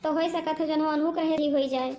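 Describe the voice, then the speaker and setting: A woman voicing a timid, excitable village housewife in a cartoon comedy, with fretful, exclamatory, repetitive speech. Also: high-pitched, brisk, faint music behind, close to an everyday voice. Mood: worried. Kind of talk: animated comedy cartoon voice acting in dialect.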